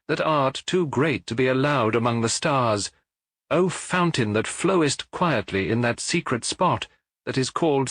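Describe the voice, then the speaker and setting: A man's voice: mid-range voice, a bit bright, dry recording, pitched above the performer's own voice. A man voicing a fanatical sun-worshipping priest in an audiobook, chanting a litany in a solemn, rapturous declamation. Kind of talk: audiobook character voice, archaic prayer.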